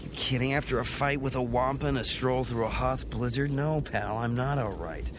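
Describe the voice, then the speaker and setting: A man's voice: normal speed, lightly acted. An actor in a radio drama playing an injured, wisecracking young male rebel pilot with a wry, teasing manner. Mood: pained, sardonic. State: in pain, injured. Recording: clear rumbling noise behind.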